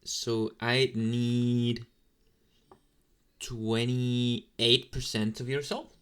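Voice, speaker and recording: A man playing an inexperienced, sleepy young demon in an ASMR roleplay, talking casually to the listener with halting, deadpan, mildly snarky asides. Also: medium pitch, smooth. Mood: distracted, businesslike.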